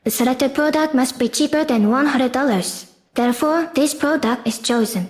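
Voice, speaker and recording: A woman's voice: high voice, normal speed, darkish tone. A woman reading a scripted educational explainer in a formal, step-by-step manner, as the presenter of a class project.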